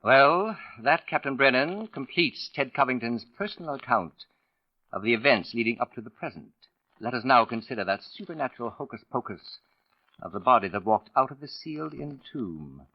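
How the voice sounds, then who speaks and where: An actor playing a suave, condescending writer and sleuth in a 1940s radio drama, speaking with pompous, theatrical precision. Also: male voice, mid-range voice, animated, moderate pace, no echo. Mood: urbane. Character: suave, condescending male writer turned shrewd accusing sleuth. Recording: dull vintage radio recording.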